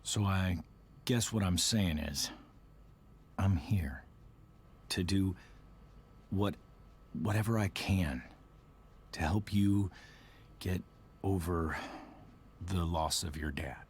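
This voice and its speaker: An actor with an American accent playing a middle-aged, grieving army veteran stepfather in a video game, earnest, halting and solemn. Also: sits low, slight background noise. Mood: earnest consoling. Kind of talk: dramatic game cutscene acting.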